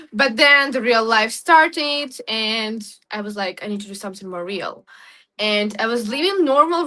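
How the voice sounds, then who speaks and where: A young adult woman from Ukraine, a guest talking excitedly in an interview, often restarting her sentences. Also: fairly high pitch. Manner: excited, frequent restarts and laughs. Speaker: guest, content creator. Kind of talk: casual interview talk.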